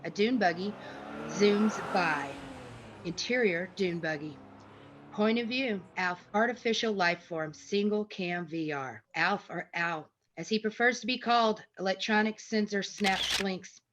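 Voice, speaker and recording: A woman with a medium pitch narrates the action and scene headings of a screenplay. Her scripted reading comes over an amateur online-call recording with clear background noise. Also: a little slow. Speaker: narrator reading stage directions.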